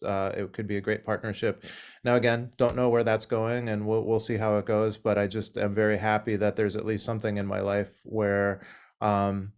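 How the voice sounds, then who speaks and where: A man's voice: fairly low pitch, clean tone. A man speaking on a video call, rambling with frequent fillers. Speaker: community member.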